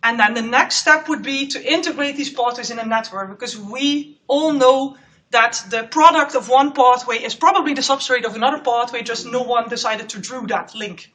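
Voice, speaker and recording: A woman postdoc gives a loud, chatty conference presentation in a lecture room. Her voice is high and bright, picked up by a clip-on mic. The recording sounds a little distant. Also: moderate pace. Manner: loud, chatty, self-aware. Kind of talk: conference talk. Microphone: clip-on microphone.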